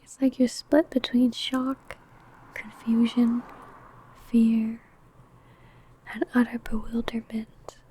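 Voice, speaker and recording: A woman playing a possessive, predatory vampire in an ASMR roleplay, in a breathy, teasing, menacing whisper. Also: fairly high pitch, mellow. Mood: smug, teasing. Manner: slow, intimate, savouring phrasing.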